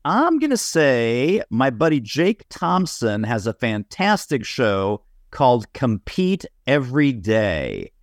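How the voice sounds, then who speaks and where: A man with a medium-pitched voice, talking conversationally as a guest on a business panel. The recording is close and dry, free of room echo. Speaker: podcast guest, business author.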